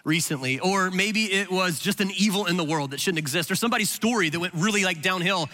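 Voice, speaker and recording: A man's voice: high-pitched, fast, pushed and bright, faint music behind. A middle-aged man, a pastor, tells a story in a sermon, chatting casually and often restarting his sentences. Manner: conversational, rambling with restarts. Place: large hall with audience. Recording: long hall reverb.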